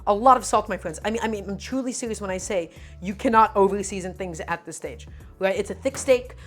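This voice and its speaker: Chatty woman teaching cooking on stream. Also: medium pitch. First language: Russian. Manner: chatty, instructive, often says "my friends". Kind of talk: live cooking demonstration. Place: home kitchen.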